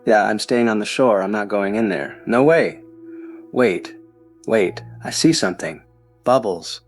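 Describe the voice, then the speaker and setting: A man's voice: on the low side, a little slow. Guy acting as a scared young man calling for help, stammery and repeating himself, audio drama, bit of music behind. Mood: anxious, rattled. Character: panicked young man phoning for emergency help.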